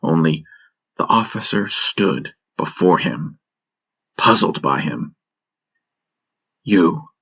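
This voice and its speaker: Deep raspy guy narrating a dramatic sci-fi audiobook, takes it slow, music behind. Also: big pitch swings, sharp tone. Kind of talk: dramatic scripted first-person narration. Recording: music bed under the voice.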